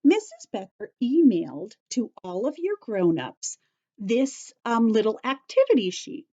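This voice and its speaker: A woman teacher giving a story-time lesson to young children, explaining in simple, deliberate phrases and repeating key points. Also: a little high, sing-song, mellow, highs cut off.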